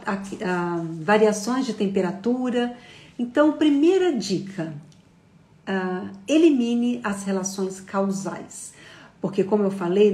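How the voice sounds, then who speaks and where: A woman's voice: medium pitch, a little mellow. A woman giving a conversational live psychology lecture, explaining patiently and using many fillers. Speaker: psychology teacher. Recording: roomy echo.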